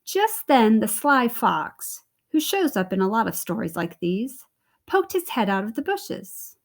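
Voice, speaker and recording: Female storybook reader, fairly high voice, very animated and expressive children's narration. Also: unhurried.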